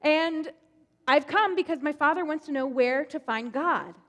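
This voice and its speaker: A woman's voice: sits high, unhurried, a bit bright, pitched above the performer's own voice. A woman voicing an earnest young princess who keeps pleading for an answer. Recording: long hall reverb.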